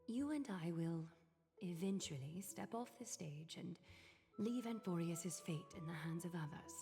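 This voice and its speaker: An actress playing an aloof, calculating noblewoman leader in a video game, speaking formally and eloquently with measured composure. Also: on the low side, lively intonation, gravelly, warm tone. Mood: solemn, pensive. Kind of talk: scripted video game voice acting. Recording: long reverb, music behind.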